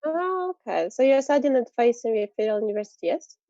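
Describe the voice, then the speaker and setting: A woman hosting a video call as a teacher, giving quick, encouraging replies. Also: on the high side, big pitch swings, mellow, no room sound. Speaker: host teacher. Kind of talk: casual video-call chat. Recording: muffled video-call audio.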